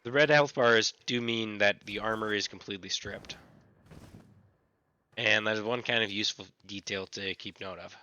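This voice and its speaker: A man with a scratchy voice, casually explaining how he plays a game character, with relaxed, repetitive phrasing. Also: on the low side, moderate pace, no echo, lo-fi sound. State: recovering from illness. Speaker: gaming channel host. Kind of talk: casual gameplay tutorial.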